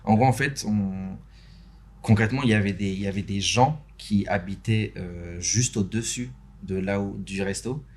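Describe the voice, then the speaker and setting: Soft-spoken young man, hesitant, low voice. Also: normal speed, breathy edge. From Benin. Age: young adult. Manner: hesitant, many fillers and restarts. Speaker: podcast guest, interview host and motion designer. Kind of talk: casual chat. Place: podcast studio.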